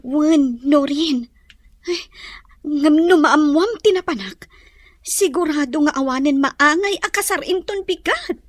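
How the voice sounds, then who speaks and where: A woman acting as an earnest young friend in an Ilocano-accented melodrama. She has a very high, polite voice, recorded close and dry. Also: unhurried, dry recording. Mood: urgent.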